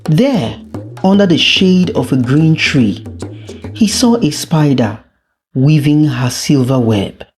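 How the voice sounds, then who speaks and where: A storyteller narrates a scripted folktale at a very unhurried pace. The delivery is deliberate and dramatic, with a middling pitch. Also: animated, darkish tone, soft background music.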